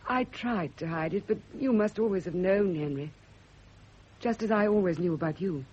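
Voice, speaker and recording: A woman's voice: mid-range voice, darkish tone, lightly acted. An actress playing a fervent, lovesick woman who confesses hidden passion, in a theatrical classic radio drama style. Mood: passionate, pleading. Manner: fervent, breathy pauses, theatrical intensity. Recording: dull old radio recording, faint hiss.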